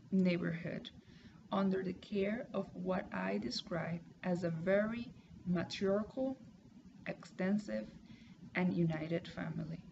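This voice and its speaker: Woman in her 30s, reads her class paper slow and careful, bit of fry, dull noisy home recording. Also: mid-range voice. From Puerto Rico. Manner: careful, measured reading with pauses. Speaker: student. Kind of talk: reading a class assignment aloud.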